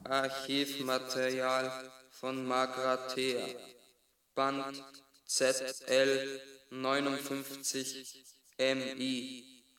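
A man's voice: mid-range voice, drawn-out words, pauses often, slight background noise. A man playing an impersonal archive announcing machine, reading in spaced-out words in a radio drama. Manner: monotone, clipped, spaced-out words. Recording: echoey, distant.

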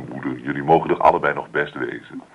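An actor playing an indignant, loyal grown son of a farming family in a radio drama, earnest and insistent. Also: male voice, very deep voice, brisk, creaky, husky, exaggerated voice. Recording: muffled band-limited radio recording.

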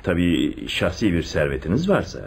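An actor playing a confident police chief inspector in a radio play, with an expressive, deliberate theatrical delivery. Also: male voice, sits low, slightly rough, exaggerated voice. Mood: measured. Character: male police chief inspector, secretly in love, confident theorist. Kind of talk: Turkish radio theatre acting.

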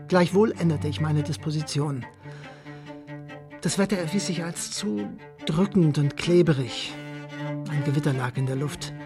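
A proud, easily irritated man in a radio play. He speaks in dry, wordy, carefully articulated phrases. Prominent string music runs behind his voice. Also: high-pitched. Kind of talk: radio play acting and first-person narration.

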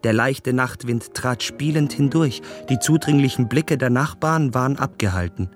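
Casting: man as sensitive young romantic gentleman, medium pitch, reflective literary radio play, soft music bed. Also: moderate pace, natural voice. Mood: dreamy. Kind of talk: literary radio drama monologue.

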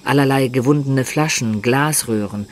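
An actor playing an adventurer who recounts his tale in measured, descriptive narration for a German radio drama. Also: mid-range voice, slight background noise, close to an everyday voice. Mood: suspenseful. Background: music.

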